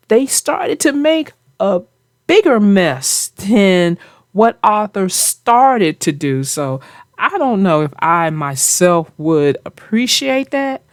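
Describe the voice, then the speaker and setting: A woman hosting a children's read-aloud show, chatting about a book with young readers in deliberate, emphatic phrases. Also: medium pitch, no echo, studio quality.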